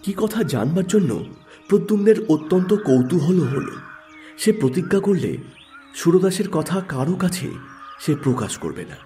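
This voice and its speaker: A man's voice: high-pitched, big pitch swings, normal speed, dark tone, soft background music. A man narrating a literary story in a scripted audiobook style.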